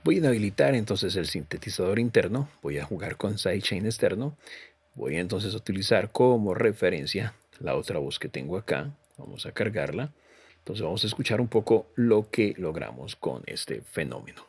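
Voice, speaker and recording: A man's voice: sits low, sing-song, normal speed, slightly rough, no echo. A man presenting a tutorial walkthrough in an explanatory way, often repeating and rephrasing himself. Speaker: presenter of a music software review. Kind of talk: tutorial walkthrough narration in Spanish.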